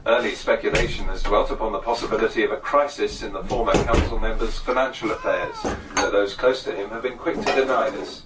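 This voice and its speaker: An actor playing a sober male radio newsreader, reading a scripted bulletin in a formal, measured, impersonal way. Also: lowish voice, fairly even intonation, heavy background noise, close to an everyday voice. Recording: distant, echoey radio amid kitchen clatter.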